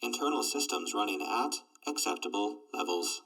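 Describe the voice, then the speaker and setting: Flat robot voice, processed, kinda low, obedient audio drama droid, loud synth tones behind it. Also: male voice, normal speed, recorded from a distance, over loud music, poor audio. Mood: impassive. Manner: flat, clipped status reports.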